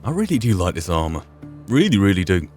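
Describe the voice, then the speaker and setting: A man's voice: low voice, big pitch swings, quick pace, a little mellow. A man giving casual, understated commentary on his video game playthrough, thinking aloud as he plays. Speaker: gamer, playthrough host. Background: game music.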